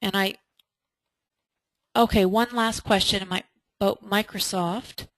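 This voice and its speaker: Female webinar presenter, mid-pitched, explanatory and emphatic, fond of rhetorical questions, very little room sound. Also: steady tone, slow, fairly bright tone, no echo. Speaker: webinar presenter and resume instructor. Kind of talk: webinar teaching, answering participants' questions. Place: indoors, online webinar.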